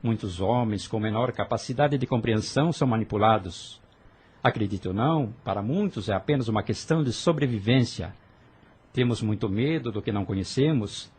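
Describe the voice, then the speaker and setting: An earnest young rebel with a husky, breathy edge, arguing his ideals with fervent conviction, as a man acts him out. He speaks at an ordinary pitch and pleads persuasively in an audio drama. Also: a little mellow.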